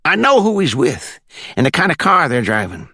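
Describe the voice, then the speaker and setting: Audiobook phone caller: sly rural Florida country fellow, wary and insinuating, pitched well up, swooping intonation. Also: male voice, wildly swooping pitch, normal speed, pushed and bright, slightly rough, no echo, clean studio sound, full character voice.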